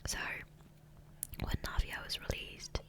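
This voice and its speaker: A woman whispering gently in a casual, unhurried ASMR ramble, close to the microphone. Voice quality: soft unvoiced whisper. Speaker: ASMR gaming creator. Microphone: close USB condenser microphone.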